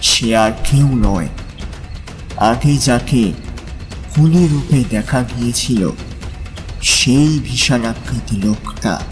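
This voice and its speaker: A man's voice: medium pitch, slow, long pauses. Male dramatic story narrator over music. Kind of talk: dramatic scripted audiobook narration of fiction.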